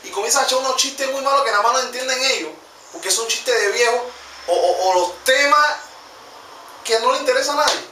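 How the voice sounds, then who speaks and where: Brief: twenties Panamanian male vlogger, bright high voice, rambling and jokey, recorded from a distant camera. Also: brisk. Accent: Panamanian Spanish. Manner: slangy, joking, rambling. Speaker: vlog host. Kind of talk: casual comedic vlog narration. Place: small room with computer desk. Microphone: camera mic from a distance. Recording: echoey room, distant mic, faint noise.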